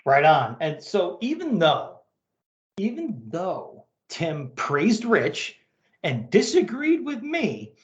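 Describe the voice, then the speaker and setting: A man hosts a podcast in a fairly high voice that moves up and down with lots of animation. His delivery is casual and conversational, and the room adds audible echo. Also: lo-fi sound. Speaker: podcast host. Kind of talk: casual chat and reading listener email aloud. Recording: roomy, echoing sound.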